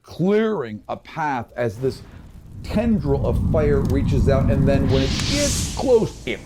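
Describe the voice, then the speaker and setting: A man narrating a tabletop game as game master, with vivid, drawn-out descriptive delivery. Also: mid-range voice, very animated. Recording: noisy, with ambient sound behind.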